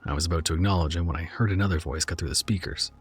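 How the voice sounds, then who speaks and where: A man narrating a first-person horror story in a measured, somber way. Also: sits low, breathy edge, faint music behind.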